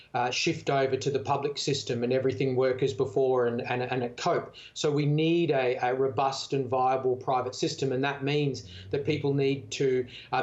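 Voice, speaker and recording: Dull lo-fi sound, Australian man, medical spokesman, conversational interview answers, medium pitch. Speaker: doctor, medical association spokesman. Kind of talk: unscripted expert interview.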